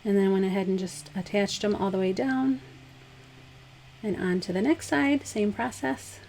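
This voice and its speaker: Slightly hoarse woman explaining a craft. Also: medium pitch, normal speed, slight background noise, poor audio. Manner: relaxed, chatty, step-by-step instructions with fillers. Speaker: craft vlogger. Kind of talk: casual DIY tutorial voice-over.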